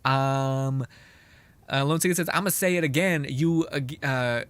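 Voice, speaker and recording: A man giving casual, sweary live commentary on a game as a streamer, animated and mock-indignant while chatting with viewers. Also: medium pitch, a little slow, dry recording. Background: game music.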